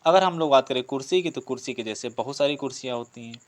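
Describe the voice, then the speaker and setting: A man's voice: mid-range voice, fast. A man teaching a grammar lesson, explaining step by step with repetition, listed examples and rhetorical questions. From India. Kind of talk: online exam-prep grammar lesson.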